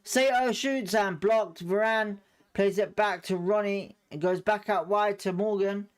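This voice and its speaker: Male host for live fan commentary from home, chatty and opinionated, with a smooth, clear voice pitched a touch high. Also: fairly even intonation, unhurried. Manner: chatty and opinionated, hedging his views.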